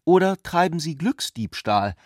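A man's voice: fairly high pitch, big pitch swings, a little slow, no echo. Dry-sounding, ironic intellectual man. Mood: musing, dry. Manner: polite, ironic, discursive. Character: ironic, polite, intellectual male cousin, teasingly philosophical. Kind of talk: literary radio play dialogue.

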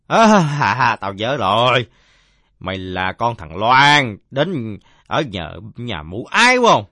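A man's voice: lowish voice, big pitch swings, takes it slowly, pushed and bright, no room sound, clean studio sound, exaggerated voice. A man voicing a belligerent, drunken village father in a story, blustering and coarse-mouthed. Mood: slowly recalling.

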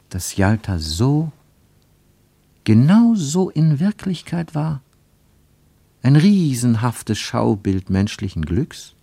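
Low-voiced, wry narrator. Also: male voice, a little mellow, no room sound. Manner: measured, wry and ironic. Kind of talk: scripted literary narration; 1950s radio play.

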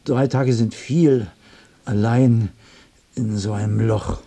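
An actor playing a lonely, muddled, touchy man in a radio play, rambling in a halting, repetitive, nervous way. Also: medium pitch, steady tone, mellow. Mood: rambling, distracted. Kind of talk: German radio play acting.

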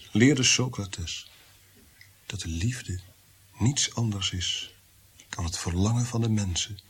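Low-voiced, tormented, brooding prince. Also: male voice, slightly rough, full character voice. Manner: brooding, theatrical, drifting into whispers. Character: tormented guilt-ridden prince-composer, bitter, menacing, fearing God. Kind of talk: radio play acting.

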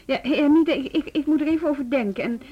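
An actress playing an obliging, hesitant music school teacher in a naturalistic radio drama. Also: female voice, high-pitched, fast, lo-fi sound, close to an everyday voice. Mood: hesitant, careful. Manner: hesitant, conversational.

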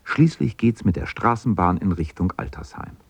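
A man's voice: low-pitched, animated, moderate pace, gravelly, slightly rough, full character voice. A man narrating a children's radio play as a composed storyteller, reading from a script.

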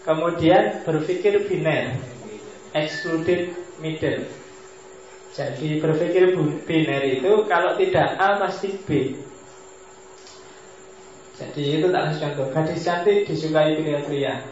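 A man, his voice pitched a little high, lectures on philosophy in a casual, joking way and drifts into asides. He is recorded in a large mosque hall that fills with long echo, and the sound is dull and lo-fi. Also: takes it slowly, long pauses, slight background noise. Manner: joking, mixes Javanese asides.

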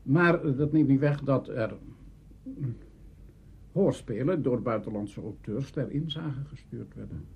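An older man with a Netherlands Dutch accent, reminiscing in an interview in a deliberate, halting way, searching for words. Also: mid-range voice, vocal fry, darkish tone. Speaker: interviewee recalling early radio drama. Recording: dull, slightly noisy interview recording.

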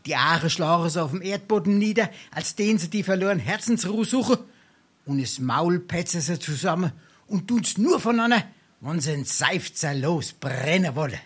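Brazen sponger and tippler for dialect comedy; Darmstadt accent, florid, theatrical, silver-tongued. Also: male voice, sits high, animated, moderate pace, a bit bright, husky, full character voice. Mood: coaching, sly. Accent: South Hessian, Darmstadt dialect. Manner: florid mock-pompous speech, theatrical sighs. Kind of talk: dialect stage comedy acting.

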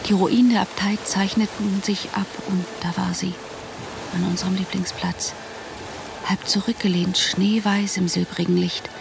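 An actress narrating a radio drama in German as a solemn young woman, with measured, suspenseful storytelling. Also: mid-range voice, little pitch movement, gravelly, noisy background, close to an everyday voice. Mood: suspenseful, hushed. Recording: music and rain behind narration.

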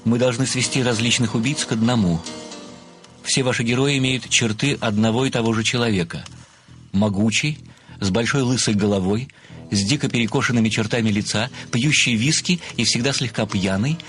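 An actor playing an obsequious, methodical amateur sleuth who speaks formally and deliberately, with flowery deference, in an audio play. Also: male voice, mid-range voice, a bit bright, faint music behind, lightly acted. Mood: confident, accusing. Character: obsequious, methodical amateur male sleuth, adoring a famous writer.

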